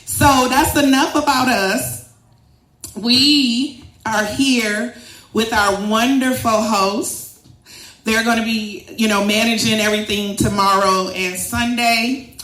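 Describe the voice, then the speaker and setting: A woman's voice: a little high, steady tone, takes it slowly, a bit bright. Chatty woman hosting, echoey room. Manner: loose, chatty and joking, laughs often. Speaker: event organizer and host. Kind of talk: casual event introduction.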